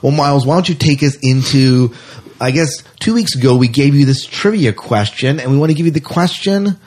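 A man's voice: medium pitch, fairly quick, darkish tone. A man hosting a science fiction podcast, talking enthusiastically and rambling a little with fillers. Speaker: podcast host. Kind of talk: casual podcast chat.

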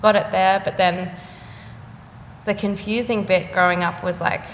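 A young woman, an artist chatting casually in an interview, full of fillers and quick laughs. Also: medium pitch, fairly even intonation. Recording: echoey room with faint noise.

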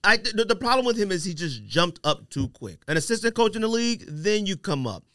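A man co-hosting a casual sports podcast, animated and slangy as he argues his points. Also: high-pitched, fairly quick, no echo, clean studio sound.

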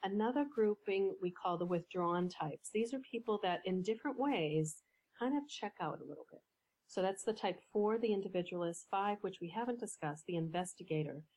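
A woman coach, a podcast guest, explaining ideas in a measured way with some fillers. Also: medium pitch, lively intonation, a little mellow, low-quality recording. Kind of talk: podcast interview.

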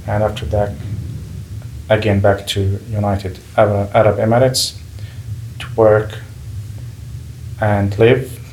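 Low-voiced man, measured and careful. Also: level tone, a little mellow, low-quality recording. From Palestinian refugee, grew up in Gulf region. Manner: measured, pauses and restarts while choosing words. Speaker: guest. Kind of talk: interview, personal account. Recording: faint background noise.

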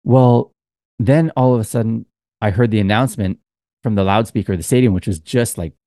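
Lower-voiced man, rambling podcast chat. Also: fairly quick, dark tone, dry recording, clean studio sound. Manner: rambling storyteller, many fillers. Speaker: podcast co-host. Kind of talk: casual chat.

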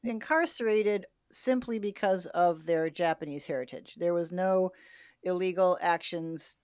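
A woman hosting an interview podcast, recapping and clarifying in a measured, explanatory conversational way. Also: lowish voice, darkish tone, smooth, dry recording, clean studio sound.

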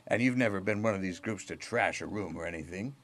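A man's voice: deep voice, fast, fairly bright tone, a little hiss or hum. A man hosting a chatty, comic podcast interview in a folksy, rambling way, often repeating words as he feels for the next one. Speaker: podcast host.

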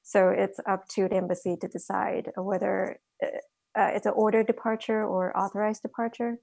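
Woman from Taiwan, interview guest, gravelly voice, hesitant, dry sound. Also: mid-range voice, little pitch movement, moderate pace, no echo. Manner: hesitant, many ums and self-corrections, laughs softly. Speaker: guest, financial planner. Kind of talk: casual interview answers.

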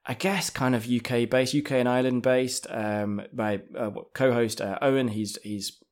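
A man's voice: mid-range voice, fairly even intonation, normal speed. An English man in his thirties, a podcast guest chatting casually, laughing often and restarting his sentences. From England. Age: 30s. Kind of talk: casual interview chat.

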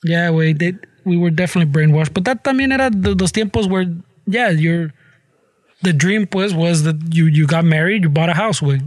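A man's voice: fairly high pitch, dry recording. Snel pratende man die steeds "güey" zegt. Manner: fast, slangy, ends lines with "güey". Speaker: podcast co-host. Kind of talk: casual profane chat, mixing English and Spanish.

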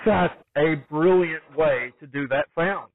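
A man's voice: fairly high pitch, measured pace, no room sound. A man hosting a podcast interview, speaking in short, concise questions and answers over a narrow phone-like line.

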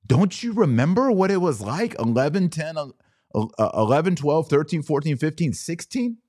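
A middle-aged man with an American accent giving an informal podcast monologue, telling personal stories emphatically with dramatic pauses on key words. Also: a little high, very animated, darkish tone. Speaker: podcast host.

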